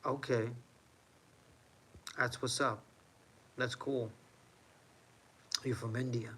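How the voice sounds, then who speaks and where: A man's voice: mid-range voice, level tone, slow pace, fairly bright tone, slightly rough. A man from New York City with an American accent, ranting casually on a livestream in an emphatic, repetitive way. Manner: emphatic, repeats himself for effect. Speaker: live streamer.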